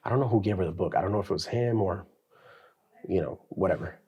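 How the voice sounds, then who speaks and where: A man co-hosting a faith podcast, sharing his childhood story in a casual, animated way with some slang. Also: sits low, fast, muffled recording. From New York. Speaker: podcast co-host. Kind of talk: casual testimony chat.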